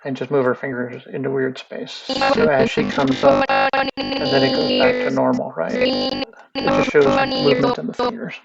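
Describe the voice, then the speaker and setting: A man teaching animation in a casual screen-share tutorial, thinking aloud in a relaxed way. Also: mid-range voice, moderate pace, creaky. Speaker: animation instructor. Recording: muffled lo-fi audio with faint music.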